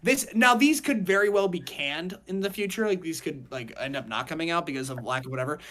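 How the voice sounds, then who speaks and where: Male podcast co-host, casual and slangy, animated delivery with shifting volume. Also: on the high side, brisk. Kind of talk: casual group podcast chat.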